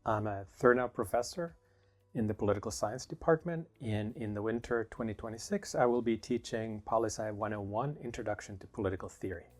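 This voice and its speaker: A man's voice: on the low side, soft background music. Middle-aged male professor. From Finland. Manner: thoughtful, measured, with small restarts. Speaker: political science professor. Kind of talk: interview answers.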